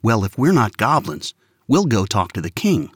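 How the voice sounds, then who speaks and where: An earnest young boy who speaks up boldly and insists on being heard, as a man plays him. His voice lifts well above a man's range and moves with lively rises. The recording is dry and close. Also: lowish voice, moderate pace, slightly rough, no echo. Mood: insistent. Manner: raised and insistent, confident.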